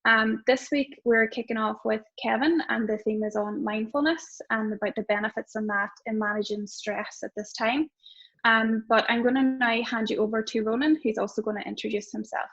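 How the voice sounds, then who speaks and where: Woman, fairly high voice, friendly hesitant intro, muffled lo-fi call. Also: steady tone, moderate pace, a bit bright. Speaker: support agency organizer. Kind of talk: webinar welcome. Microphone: video call.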